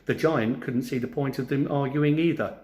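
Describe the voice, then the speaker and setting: A man narrating a storybook for children in an expressive, theatrical way, like a teacher. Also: medium pitch, moderate pace. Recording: roomy, echoing sound.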